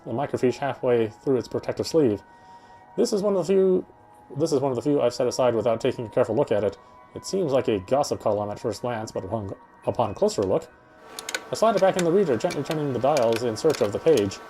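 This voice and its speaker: A man reading a horror visual novel aloud in an expressive, first-person storytelling manner. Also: medium pitch, fast. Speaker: let's-player reading a game aloud. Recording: game music behind the voice. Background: game music.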